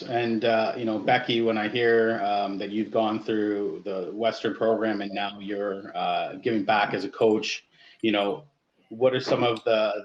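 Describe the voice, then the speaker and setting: A fairly low-voiced man moderates a panel, pausing often with "uh" and "you know". His voice rings in a roomy space, and the recording sounds dull and lo-fi. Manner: hesitant, many fillers. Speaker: guest host and coach.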